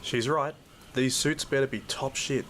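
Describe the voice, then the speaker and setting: A man acting as a gruff, world-weary cane toad hunter in an audio drama, with an Australian accent and a blunt, jaded manner. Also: medium pitch, fairly even intonation, measured pace, fairly bright tone, slight background noise, lightly acted. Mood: blunt, angry. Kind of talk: first-person narration and dialogue in an audio drama.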